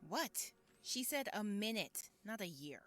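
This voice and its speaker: An actress playing an impatient, wisecracking friend in an audio drama. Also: female voice, medium pitch, big pitch swings, a little slow, fairly bright tone. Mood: sarcastic. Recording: music over the voice.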